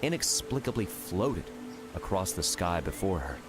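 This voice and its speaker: A man's voice: deep voice, sing-song, moderate pace. A man reading scripted fiction narration as an audiobook narrator. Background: music.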